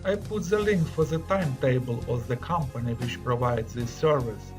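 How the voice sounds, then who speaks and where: A man's voice: medium pitch, moderate pace, over music. A man presenting a video with scripted, informational narration.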